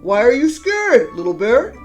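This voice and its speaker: Woman playing a patient big daddy bear, slow and animated. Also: fairly high pitch, soft background music. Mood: patient, questioning. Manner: patient, drawn-out questions and reassurances. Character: big grown male bear, patient weary caregiver. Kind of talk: storybook character read-aloud.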